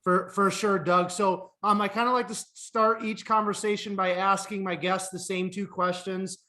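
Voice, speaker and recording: A man hosting a podcast, asking his guest questions in a friendly, steady way. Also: high-pitched, moderate pace. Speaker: podcast host. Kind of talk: interview questions.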